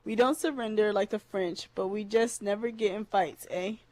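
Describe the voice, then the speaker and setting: A woman's voice: medium pitch, steady tone, normal speed, darkish tone, no echo, close to an everyday voice, poor audio. A woman voicing a perky, fake-sweet Canadian wrestling champion, tagging "eh" onto nearly every sentence. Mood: fake cheerful.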